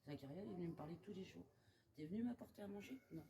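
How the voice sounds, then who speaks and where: A woman's voice: fairly low pitch, lively intonation, rapid-fire, vocal fry, dark tone. A woman chatting casually with a coworker, hesitant and repeating words. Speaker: hospital coworker. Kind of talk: casual chat. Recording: noisy background.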